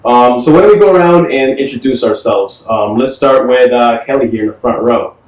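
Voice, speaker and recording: A man hosting a podcast interview, relaxed and playful with his guests. His voice carries a roomy echo and sits at a distance from the mic, at an ordinary middle pitch. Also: fairly quick. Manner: relaxed, joking questioner. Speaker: podcast host. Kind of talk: casual interview.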